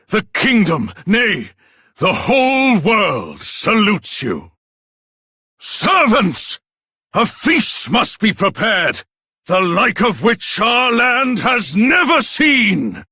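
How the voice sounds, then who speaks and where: A man's voice: high voice, pushed and bright, husky, no echo, studio quality, full character voice. An actor playing a solemn, pompous king in a fantasy game, with a stately, declamatory delivery. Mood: grand, celebratory. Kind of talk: theatrical fantasy game voice acting.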